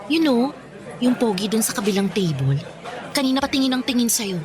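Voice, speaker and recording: Bold, animated high-voiced best friend played by an actress. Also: female voice, fairly quick, crowd noise, lightly acted. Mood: teasing. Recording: music bed behind.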